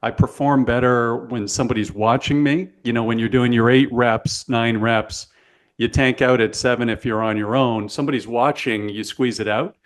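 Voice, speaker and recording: A Canadian man hosting a podcast interview, upbeat and chatty, who tells stories from his own life. He keeps affirming his guest as they talk. His voice sits at a middle pitch for a man. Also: steady tone, normal speed. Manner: enthusiastic, storytelling, affirming. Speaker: podcast host.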